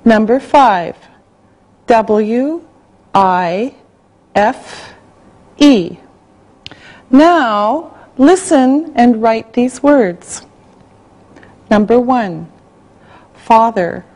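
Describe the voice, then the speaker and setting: Woman, slightly high voice, language-lesson dictation, deliberate, very slow. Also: animated, a little mellow. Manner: slow, carefully spelled out, evenly paced. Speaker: English teacher reading a listening exercise.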